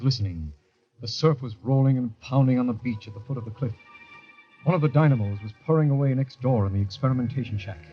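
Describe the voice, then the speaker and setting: An actor playing an earnest, haunted young army lieutenant, speaking with confiding intensity in a 1940s radio drama style. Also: male voice, mid-range voice, lightly acted. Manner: intense, confiding first-person narration. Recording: dull vintage radio recording with music.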